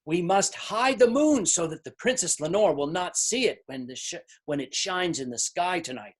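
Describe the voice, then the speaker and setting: Someone doing a grumpy worried fairy tale king, bossy and emphatic, bit raspy, pitch all over. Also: lively intonation, normal speed, natural voice. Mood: worried, commanding. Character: worried, irritable old-fashioned storybook king. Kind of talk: readers theater story performance.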